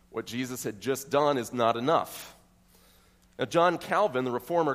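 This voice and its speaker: American pastor guy preaching, steady middle voice, methodical, big echoey church. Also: mid-range voice. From central Pennsylvania, USA. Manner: earnest, methodical teaching with rhetorical questions. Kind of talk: expository sermon.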